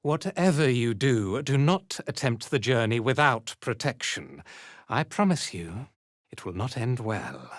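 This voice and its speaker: A man's voice: medium pitch, big pitch swings, a little slow, no room sound, studio quality. An actor playing a haughty, cruel male zealot commander in a fantasy game, with an imperious, contemptuous manner. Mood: commanding. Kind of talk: dramatic video game character lines.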